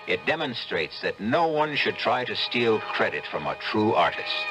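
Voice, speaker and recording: Old radio horror host guy, measured n theatrical, lowish voice that moves a lot, music behind him. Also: rough recording. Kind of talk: scripted narration, old-time radio.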